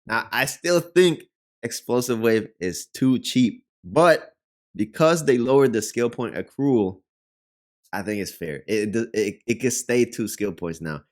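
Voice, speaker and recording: A man's voice: mid-range voice, expressive, a little slow. A man with a Puerto Rican Spanish accent and Spanish as his first language, chatting loosely about a fighting game as a reaction host. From Puerto Rico. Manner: chatty, rambling, uses many fillers. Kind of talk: casual gaming commentary.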